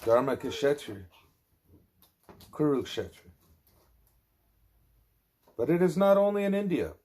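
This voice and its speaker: A man reading a spiritual discourse aloud as an audiobook narrator, with deliberate, measured phrasing. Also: on the high side, sing-song, a little mellow. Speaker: audiobook reader.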